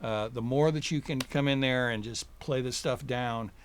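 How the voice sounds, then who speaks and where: Brief: easygoing man, studio guest, medium pitch, rambling and chuckly. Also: normal speed, slight background noise. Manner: relaxed, chuckling, rambling with fillers. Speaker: guest, recording engineer. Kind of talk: casual interview chat. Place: recording studio.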